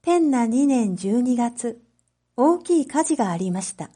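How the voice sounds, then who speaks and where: A woman reading a story aloud as a narrator, in a measured, clearly phrased storytelling manner for reading practice. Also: fairly high pitch, sing-song, dark tone. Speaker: narrator, Japanese reading teacher.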